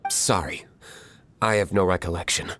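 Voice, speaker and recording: A man playing a stern, aloof young tavern owner in an anime video game, curt and deadpan. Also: low voice, moderate pace, a little hiss or hum. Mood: guarded.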